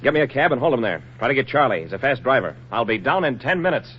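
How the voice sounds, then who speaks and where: An actor playing a tough, commanding salvage diver with a clipped, no-nonsense manner in a 1940s radio drama. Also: male voice, mid-range voice, sing-song, quick pace, close to an everyday voice. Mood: brisk, businesslike. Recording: muffled noisy 1940s radio recording.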